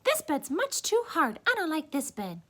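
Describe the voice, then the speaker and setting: A woman's voice: high-pitched, huge pitch swings, moderate pace, pushed and bright, pitched above the performer's own voice, full character voice. A woman voicing a fussy little girl with exclaiming, singsong lines in a children's storybook tale. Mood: fussy complaining. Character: little girl Goldilocks, hungry, fussy, easily tired.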